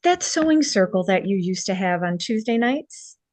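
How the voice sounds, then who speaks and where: Casting: actress as sincere hometown-girl designer, warm manner, mid-range voice, drama read, little room sound. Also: mid-range voice, lively intonation, moderate pace, no room sound, lightly acted. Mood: warm, grateful. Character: warm, earnest female costume designer back in her hometown. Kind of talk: screenplay table read.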